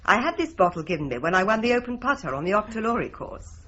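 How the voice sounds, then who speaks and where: An actress playing a brisk, boastful hostess who pours whiskey and brags about a golf win. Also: female voice, medium pitch, big pitch swings, bright, forceful tone, lo-fi sound. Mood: hearty.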